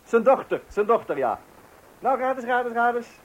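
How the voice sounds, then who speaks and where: An actor playing a flustered, excited father in a radio play, stammering and repeating himself as he juggles household and telephone. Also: very high-pitched, moderate pace, full character voice. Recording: noisy old radio play recording.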